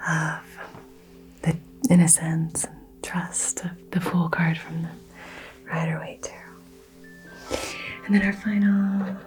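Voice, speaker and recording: Southern-raised woman, gentle ASMR whisper, flat low delivery, faint music underneath. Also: low-quality recording. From American South. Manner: soft, slow, soothing whisper. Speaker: ASMR creator doing a crystal healing.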